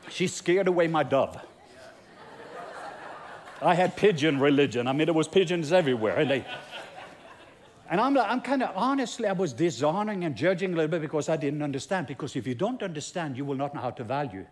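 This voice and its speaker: A man's voice: on the high side, lively intonation, a little fast. A Norwegian man, a pastor speaking English as his second language, tells a testimony in a sermon with emphatic, repeating build-ups. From Norway.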